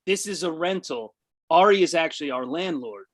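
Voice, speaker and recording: A man plays a boastful big brother, brash and mocking. His voice sits high for a man, and the delivery is that of a live comedy script reading. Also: lively intonation, moderate pace. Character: brash, boastful older brother, has-been actor type. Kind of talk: live comedy table read.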